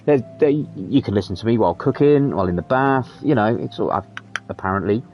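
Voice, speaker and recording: A man's voice: lowish voice, animated, a little fast, dull audio, faint music behind. A man chatting casually while streaming a game, rambling and swearing freely. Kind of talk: casual live commentary.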